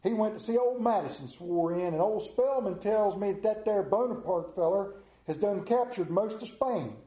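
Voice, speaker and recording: A gossipy rural villager drawls out the news in a thick Appalachian accent, slow and homespun, as a man puts him on. His voice sits higher than usual and has a mellow, warm tone. The sound carries a roomy echo. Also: close to an everyday voice.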